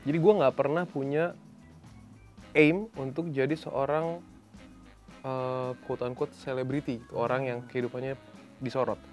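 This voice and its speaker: A man from West Java with a Sundanese accent, a guest actor chatting casually in an interview, telling his story in a relaxed way and dropping English phrases into his talk. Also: mid-range voice, moderate pace, long pauses, faint music behind. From West Java, Indonesia.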